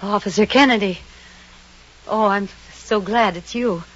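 An actress playing a headstrong, sensible woman who insists she is not afraid, firm and brisk, in a vintage radio drama. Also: medium pitch, a bit bright, lightly acted. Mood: uneasy bravado. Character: headstrong, sensible unmarried woman, stubbornly unafraid. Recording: muffled old radio recording with hiss.

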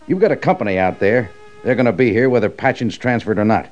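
An actor playing a commanding senior army major in a radio Western, authoritative and questioning. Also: male voice, lowish voice, lively intonation, fast, a little mellow, faint music behind, close to an everyday voice. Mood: insistent. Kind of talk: old-time Western radio drama acting. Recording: dull, band-limited old radio recording.

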